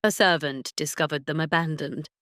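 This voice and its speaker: A woman's voice: sits low, normal speed, a bit bright, no echo, clean studio sound. A woman voicing a teasing, self-assured aristocratic duke, speaking formally in a romance audio drama. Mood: gentle, sober.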